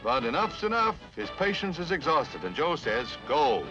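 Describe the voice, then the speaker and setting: A man's voice: fairly high pitch, very animated, normal speed. A man narrating a 1950s newsreel with a jaunty, punchy announcer delivery. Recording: dull vintage newsreel soundtrack. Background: background music.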